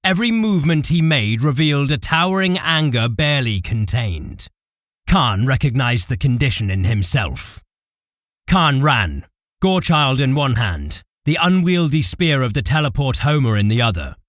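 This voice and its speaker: Male narrator for audio drama, lively swings in pitch, dry close studio sound. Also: moderate pace, dry recording. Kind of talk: dramatic scripted fiction narration.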